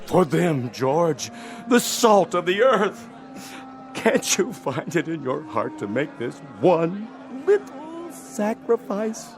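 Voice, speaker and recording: An actor playing a persuasive older movie producer, sentimental and theatrical, in a radio drama. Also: male voice, sits high, big pitch swings, slow, heavily acted. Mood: pleading. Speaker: film producer. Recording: studio radio play with music.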